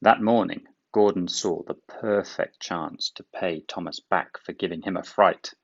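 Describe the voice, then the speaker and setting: A man reading a children's book aloud in expressive storybook narration. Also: low voice, a little slow, vocal fry, lo-fi sound.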